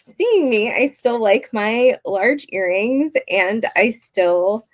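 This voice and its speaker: A woman in her early forties co-hosting a casual chat about a film, chatty and quick to laugh. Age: early 40s. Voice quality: higher-pitched voice. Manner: laughs often, chatty and animated. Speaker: podcast co-host.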